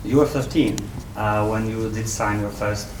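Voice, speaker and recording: A man with a Polish accent, a journalist putting questions in halting, non-native English at a press conference. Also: lowish voice, fairly even intonation. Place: indoor press conference room. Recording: echoey room, distant mic, faint noise.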